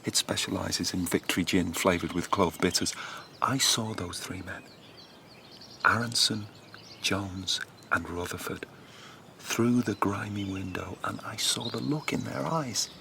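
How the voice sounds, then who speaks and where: An actor in a radio drama playing an earnest, haunted rebel, speaking with confiding intensity. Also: male voice, low-pitched, a little slow, vocal fry, bright, forceful tone, a little hiss or hum, exaggerated voice. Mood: urgent, confiding. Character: earnest, haunted male dissident, hungry for rebellion. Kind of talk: naturalistic radio drama acting.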